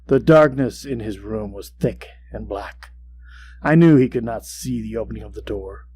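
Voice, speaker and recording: A man playing an obsessive, boastful mad murderer who narrates a gothic horror tale in a dramatic, deliberate way. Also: lowish voice, pitched below the performer's own voice. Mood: sly, stealthy. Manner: dramatic, deliberate, swinging between hush and outbursts. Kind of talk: theatrical gothic horror storytelling. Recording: clear background hum and noise.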